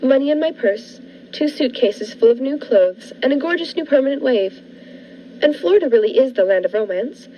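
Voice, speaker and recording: A woman playing a shy, excitable spinster of forty in a 1940s-style radio drama, earnest and eager. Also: high voice, moderate pace, slight background noise. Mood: wistful excitement. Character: naive, excitable, shy forty-year-old spinster diarist. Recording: dull lo-fi with music behind.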